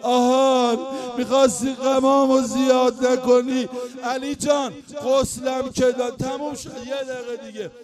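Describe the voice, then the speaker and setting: Man, very high voice, mourning sermon, wailing half-sung lament, faint music underneath. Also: little pitch movement, hardly any pauses. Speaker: religious eulogist.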